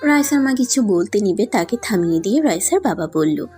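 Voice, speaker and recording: A woman's voice: medium pitch, sing-song, a little fast, a little mellow. A woman narrating a scripted audio story. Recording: music under the voice.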